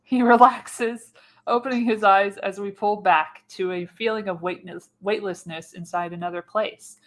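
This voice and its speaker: A woman's voice: mid-range voice, steady tone, normal speed. A woman narrating the stage directions of a screenplay in a radio play reading. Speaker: narrator, podcast host.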